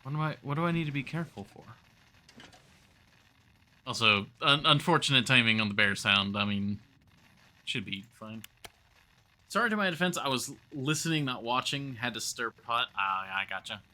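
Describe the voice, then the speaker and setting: A man's voice: medium pitch, animated, moderate pace, long pauses, pushed and bright. A man livestreaming a survival game with casual commentary, thinking aloud and chatting to viewers. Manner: thinks aloud, talks to chat.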